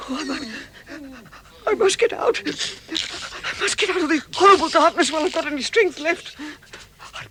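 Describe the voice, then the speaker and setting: A man's voice: very high voice, animated, normal speed, bright, forceful tone, heavily acted. A man playing a small, timid but plucky hobbit in a vintage radio drama, thinking aloud in a hesitant, fretful way. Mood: frightened, desperate. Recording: noisy vintage 1960s radio recording.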